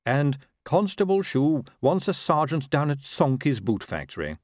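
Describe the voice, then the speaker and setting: A man's voice: on the high side, sing-song, a little slow, a little mellow, dry recording, clean studio sound, pitched above the performer's own voice. A man voicing a dutiful, eager junior police constable in an audiobook, with a deferential way of talking.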